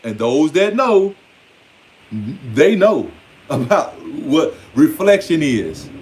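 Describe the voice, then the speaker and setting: High-voiced American man in his forties. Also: very animated, slow, darkish tone, a little hiss or hum. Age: 40s. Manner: streetwise, emphatic, rambling, often swearing and quoting others. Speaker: online speaker. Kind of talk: informal spoken monologue to followers.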